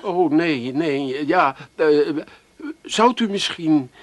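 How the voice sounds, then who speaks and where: Bashful bumbling attendant, comic radio drama, dry close sound, wide wandering pitch, stammering, smitten. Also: male voice, animated, slow, no room sound. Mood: bashful, hopeful. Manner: stammering, flustered, trailing off. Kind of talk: comic radio play acting.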